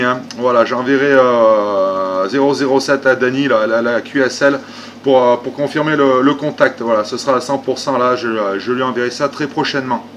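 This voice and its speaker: A man's voice: medium pitch, a little hiss or hum. A man talking casually as an amateur radio operator, in a polite, repetitive and hesitant way. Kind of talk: casual ham radio conversation.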